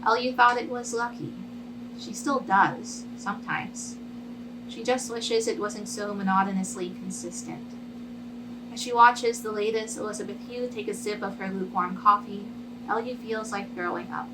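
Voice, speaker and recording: A woman's voice: mid-range voice, steady tone, moderate pace. A woman presenting her own short fiction in a literary reading style. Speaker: writer reading own story. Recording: clearly noisy, humming background.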